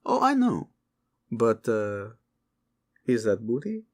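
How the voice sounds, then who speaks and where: A man's voice: medium pitch, wildly swooping pitch, takes it slowly, a little mellow, exaggerated voice. A man voicing a sly, playful devil of a thief who flirts and jokes in a fantasy audio drama. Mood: teasing. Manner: teasing, cheeky.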